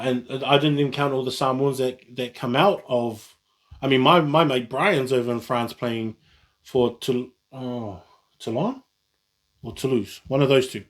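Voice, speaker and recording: Kiwi guy rambling on in a solo vlog, keeps correcting himself, mid pitch. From New Zealand. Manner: rambling, frequent restarts and self-corrections. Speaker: vlogger. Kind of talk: casual solo talk.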